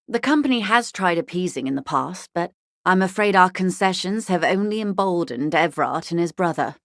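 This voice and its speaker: Poised, wry corporate negotiator, low-pitched. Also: female voice, animated, fairly bright tone, no room sound, studio quality. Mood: composed, businesslike. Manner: articulate, genteel, measured, drily ironic. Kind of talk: scripted video game dialogue.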